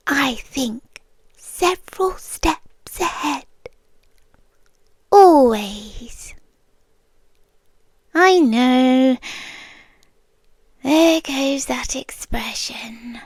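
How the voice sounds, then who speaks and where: A British woman playing a possessive, menacing yandere girlfriend in an ASMR roleplay, soft-spoken and deliberate, often slipping into whispers, sweetly condescending. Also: high voice, no echo, studio quality, full character voice. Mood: smug, teasing. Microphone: close, dry microphone.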